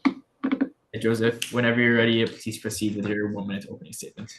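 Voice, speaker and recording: A man chairs a student meeting in a brisk, procedural way. His voice sits low and barely moves in pitch, and the room around him rings with echo. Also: flat delivery, a little hiss or hum.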